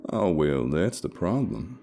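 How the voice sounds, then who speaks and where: A man's voice: deep voice, sing-song, measured pace, background noise, full character voice. A man voicing a malicious, cocky male monster with a country accent and a drawling, sneering manner. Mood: menacing, smug.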